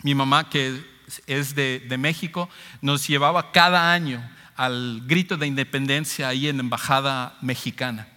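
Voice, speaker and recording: A man's voice: medium pitch, steady tone, moderate pace, sharp tone. A man from Ecuador with a Latin American Spanish accent preaching an expository sermon, emphatic and repeating key phrases. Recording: long hall reverb.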